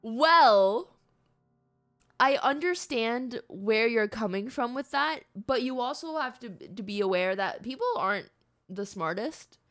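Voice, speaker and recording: A woman's voice: on the high side, animated, measured pace, a bit bright. A woman livestreaming a game, talking in a playful, reactive, conversational way as she comments. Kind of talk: casual live gaming commentary. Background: faint game music.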